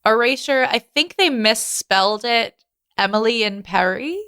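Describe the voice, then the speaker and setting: A woman's voice: on the high side, measured pace, bright, forceful tone, clean tone, no echo, clean studio sound. A woman co-hosting a podcast in casual, playful banter with a giggly delivery. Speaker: podcast co-host.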